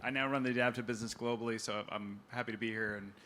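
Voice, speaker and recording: A man's voice: medium pitch, steady tone, racing, bright, forceful tone, slight background noise. A man from Texas with an American accent, an audience member asking a question on a handheld microphone in a conference hall, in an easygoing, joking way.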